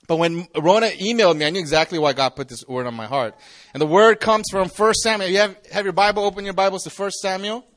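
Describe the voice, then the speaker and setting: A man's voice: sits high, fairly bright tone. A man in his forties, a campus pastor, giving a casual, joking youth sermon to a live audience. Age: 40s. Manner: chatty, jokey, restarts often.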